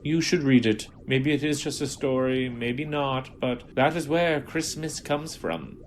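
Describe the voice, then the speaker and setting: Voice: medium pitch, fairly even intonation, a little slow, slight background noise, lightly acted. An actor playing an indignant, grandiose Martian rebel villain in an audio drama, speechifying in a theatrical, pompous way. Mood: solemn, wistful.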